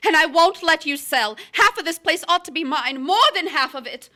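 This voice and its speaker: A woman's voice: high voice, moderate pace, bright, forceful tone. A woman playing a scheming, passionate young wife in a crime drama, intense and pleading. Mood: bitter, defiant. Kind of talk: live radio-play style crime drama acting.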